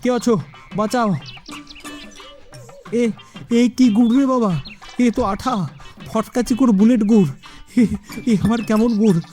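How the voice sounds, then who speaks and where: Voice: medium pitch, steady tone, unhurried, long pauses, mellow, soft background music, close to an everyday voice. A performer voicing a distraught, whining villager in a cartoon, wailing in repeated phrases. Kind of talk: cartoon voice acting.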